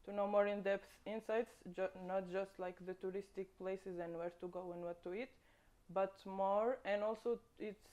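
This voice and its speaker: A woman's voice: medium pitch, mellow. A woman in her twenties from Tunisia, a volunteer who talks earnestly about what connects people. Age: 20s.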